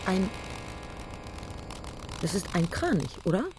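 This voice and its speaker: A woman's voice: fairly low pitch, big pitch swings, slow, noisy background. A woman playing a curious, sceptical young sister of a nonhuman race in a radio drama.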